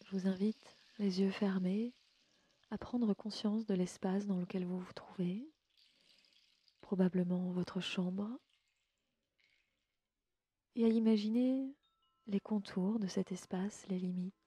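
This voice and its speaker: A woman leading a guided sleep meditation in a gentle, soothing, unhurried way, often slipping into a breathy near-whisper. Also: mid-range voice, fairly even intonation. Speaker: yoga nidra guide and podcast host. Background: music.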